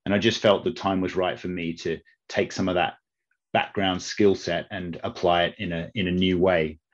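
A middle-aged man with a very low voice and a faint Australian accent, worn down by years living in Britain. He answers interview questions in a measured, thoughtful way, restarting phrases often. The audio sounds a little dull and lo-fi. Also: little pitch movement. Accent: Australian, softened by long UK residence. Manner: measured, with frequent restarts and fillers. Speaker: investment network CEO, guest.

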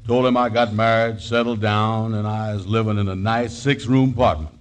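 Man playing worried dim schemer, lowish voice, folksy drawl, ungrammatical, vintage 1940s radio comedy. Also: steady tone, normal speed, clean tone, close to an everyday voice. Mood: explaining, sly. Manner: folksy, ungrammatical drawl with mangled big words. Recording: old 1940s radio recording.

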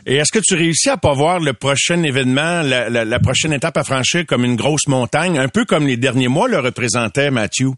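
An older man asks long, winding questions in an eager tone. His voice is of middle pitch. The sound is dry and close. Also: lively intonation, a little fast, hardly any pauses, a bit bright, no room sound. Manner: wordy, enthusiastic questions. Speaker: sports interviewer. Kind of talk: radio sports interview.

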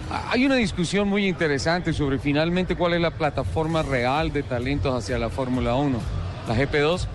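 Jovial interviewer, music behind. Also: male voice, fairly high pitch. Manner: jovial, joking, fast-talking questioner. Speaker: motorsport journalist and interviewer. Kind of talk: lively interview at a motor show.

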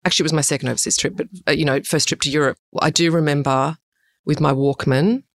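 A woman's voice: sits low, fast talker. A middle-aged Australian woman from Sydney, talking chattily and expressively as a guest in a podcast interview. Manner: chatty and expressive, with frequent fillers. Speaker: guest, urban design director.

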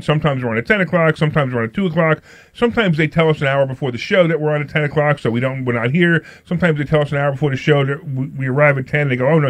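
A man's voice: a little high, no room sound. A man hosting a financial talk radio show, joking and rambling, repeating himself as he goes. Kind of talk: casual talk radio banter. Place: radio studio.

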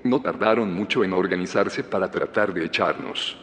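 Low-voiced secretive scientist in echoing cave. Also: male voice, normal speed, fairly bright tone. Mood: amused, confiding. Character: calm, secretive male scientist leading a hidden community. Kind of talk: audio drama dialogue. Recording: reverberant cave with noisy ambience.

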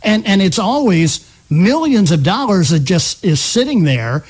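A man's voice: high voice, big pitch swings, a little slow, slightly rough. A man hosting a live talk radio show, chatting conversationally on a close studio microphone.

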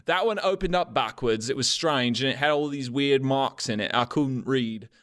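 A man's voice: medium pitch, normal speed, a bit bright, close to an everyday voice. A man voicing a bumbling young male tavern cook and petty thief, talking in a goofy, defensive, rambling way for a comedy podcast bit.

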